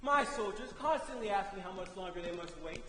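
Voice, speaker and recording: A man's voice: sits high, lively intonation, fairly quick, pushed and bright. A man playing a proud, impatient young warrior hero in a bold, declamatory stage-play style. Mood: demanding, boastful. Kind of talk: theatrical stage acting. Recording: echoey theatre hall with faint noise.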